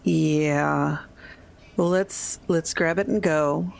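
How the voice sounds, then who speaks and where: A woman's voice: low-pitched, steady tone, extremely slow. A woman chatting casually as a player in a tabletop game, thinking aloud a little hesitantly, with some noise on the recording. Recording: clearly noisy, ambient background sound.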